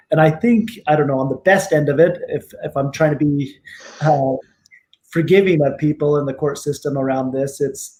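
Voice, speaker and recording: A man co-hosting a talk show, explaining in a thoughtful, hedging way with many ums, his throat slightly rough. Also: fairly high pitch, dark tone, rough recording.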